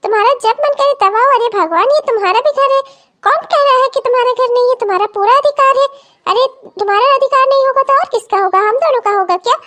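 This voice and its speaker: A woman's voice: very high voice, quick pace, sharp tone. A woman playing a meek, peacekeeping elder daughter-in-law in a family melodrama, soothing and submissive. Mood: placating. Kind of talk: Hindi family melodrama story acting.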